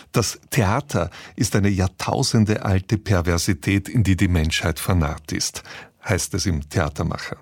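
A man's voice: low-pitched, darkish tone, husky, no room sound, clean studio sound. A man presenting on radio, reading a scripted introduction. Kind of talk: scripted narration, broadcast introduction.

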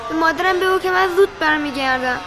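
A woman's voice: very high voice, very fast. A woman voicing an eager, dutiful young Afghan boy in a radio play. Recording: loud music alongside the voice.

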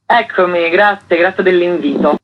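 A woman's voice: lowish voice, moderate pace, a little hiss or hum. A woman speaking as an interview guest, explaining things in articulate, flowing sentences. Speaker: arts festival director, interview guest. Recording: dull, band-limited phone-in sound.

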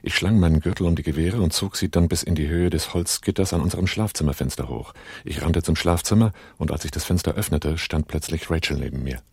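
A man's voice: very deep voice, fairly even intonation, a little fast, creaky, a little mellow, husky, heavily acted. An actor playing a commanding, terse, hard-boiled armed man on the run in a German radio drama, both narrating and giving orders. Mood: tense, matter-of-fact.

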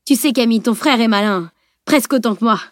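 A woman voicing an impulsive, cheeky teenage boy, excitable and quick to justify himself, in an audiobook. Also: fairly high pitch, dry recording, studio quality, natural voice. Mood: excited, cocky. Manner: excitable, cocky, self-justifying. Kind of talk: dramatised audiobook dialogue.

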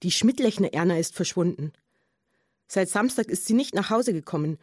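A woman's voice: mid-range voice, lively intonation, moderate pace, darkish tone, dry recording, studio quality, close to an everyday voice. An actress playing an earnest married Munich woman giving testimony in a radio drama, chatty and emphatic, with a Bavarian accent. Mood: earnest, insistent. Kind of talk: radio drama witness monologue.

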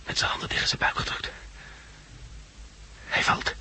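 A man's voice: normal speed, bright, forceful tone, in a whisper. An actor with a Netherlands Dutch accent playing a resolute, practical man who leads blind disaster survivors in a 1960s radio drama. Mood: urgent, alarmed. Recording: noisy, clicky 1960s radio recording.

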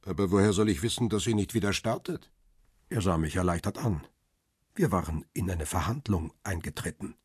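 A wary, world-weary photographer in a radio crime drama. His voice is low and heavily husky, recorded close and dry. He speaks in terse, reflective phrases as he tells his own story. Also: lively intonation, fairly quick, a little mellow, no room sound, exaggerated voice. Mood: guarded. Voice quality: husky, rough. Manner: reflective, terse first-person narration.

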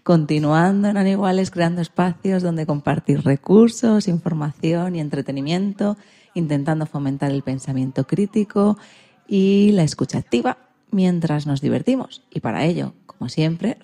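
Female radio presenter, low pitch with gravelly edge, magazine show delivery. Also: animated, normal speed, warm tone. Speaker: radio programme host. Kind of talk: hosting a radio magazine programme.

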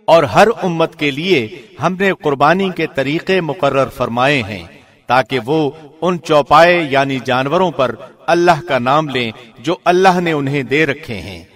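A man with a fairly high voice reads scripture translation aloud. His delivery is formal and measured, in the declamatory manner of a radio presenter. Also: fairly bright tone. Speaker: translation reader, radio host. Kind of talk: scripted religious narration. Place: studio.

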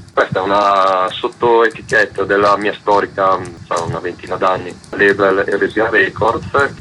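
A man's voice: on the low side, sharp tone, a little hiss or hum, rough recording. A man in his thirties giving an explanatory spoken interview. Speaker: interviewed zine and label founder.

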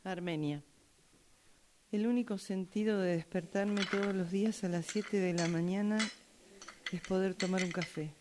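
A woman's voice: mid-range voice, fairly even intonation, normal speed, background noise. A woman reading a scripted dramatic monologue as a radio drama workshop student.

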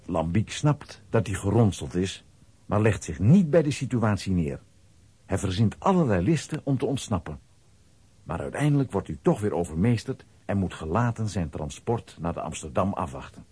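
A man narrating a children's radio play as an expressive storyteller reading a script. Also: deep voice, normal speed, husky, dry recording.

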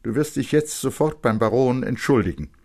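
An icy, commanding mother in a literary radio reading, voiced by a male narrator. The voice is lifted well above his usual register, with a slightly husky grain. Each phrase is hard, clipped and unbending, as if every word were an order. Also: medium pitch, lively intonation, moderate pace, a little mellow, voice pushed up. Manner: cold, hard, clipped dictation. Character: stern, icy, commanding mother scolding her young son. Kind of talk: literary audiobook character reading.

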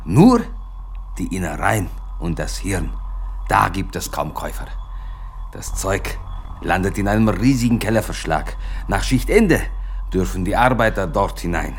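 An actor in a German radio drama playing a sick, frightened slaughterhouse guard giving halting, agitated testimony. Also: male voice, deep voice, a little hiss or hum. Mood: grim, haunted.

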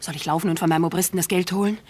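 A woman's voice: lowish voice, steady tone, rapid-fire, a little mellow, close to an everyday voice. An actress playing a calculating, flirtatious camp woman in a German radio play, with a coquettish manner. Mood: hurried, pressing.

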